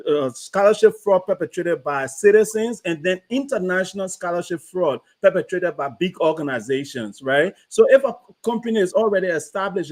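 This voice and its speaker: A man's voice: high-pitched, darkish tone. A man on a discussion panel, explaining step by step and checking in often with his listeners. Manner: explanatory, checks in with "right?" and "you understand?".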